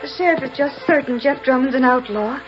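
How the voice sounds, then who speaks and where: A woman's voice: high-pitched, a little fast, music in the background, lightly acted. An actress playing a spirited young ranch woman in a 1940s radio western, speaking with dramatic earnestness. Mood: worried. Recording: muffled, hissy 1940s radio recording.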